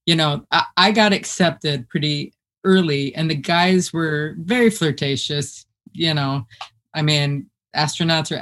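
A woman from the US Midwest with a deep voice, telling her story as an interview guest in a lively, chatty way and laughing as she talks.

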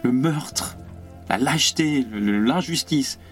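An actor playing an earnest young idealist revolutionary, anguished and fervent, with halting, repeated words in a French radio play. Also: male voice, mid-range voice, soft background music, close to an everyday voice. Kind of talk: French radio theatre acting.

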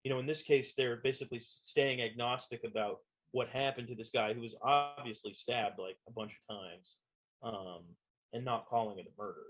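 A man's voice: mid-range voice, moderate pace, a bit bright, rough recording. A man chatting casually, hedging and filling his talk with "you know" and "like". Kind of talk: casual chat about a TV show.

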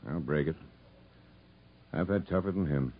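A man playing a blunt, commanding prison camp captain in a 1950s radio drama, unyielding and husky-voiced. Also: a bass voice, normal speed. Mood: firm, guarded. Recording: muffled vintage radio broadcast.

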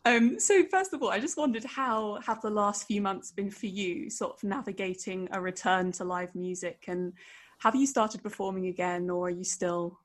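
A woman's voice: medium pitch, moderate pace. A woman hosting a podcast, reading a scripted intro and then chatting with a guest in an eager, enthusiastic way. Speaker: podcast host.

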